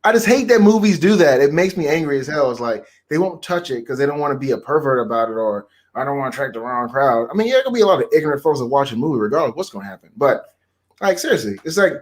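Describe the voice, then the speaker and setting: A man's voice: mid-range voice, expressive, very fast. A man chatting casually as a co-host, talking in an emphatic, slangy way. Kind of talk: casual chat.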